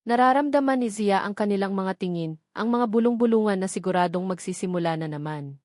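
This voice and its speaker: A woman with a Filipino accent reading scripted romantic drama narration in a measured, emotive way. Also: medium pitch, a little mellow, no room sound, studio quality. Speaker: audiobook story narrator.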